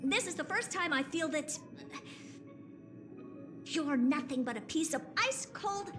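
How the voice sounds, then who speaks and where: A woman's voice: high-pitched, animated, unhurried, music in the background. An actress playing a haughty, irritable young female genius scientist in a video game, speaking in a curt, scornful, emphatic way. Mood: angry, scornful.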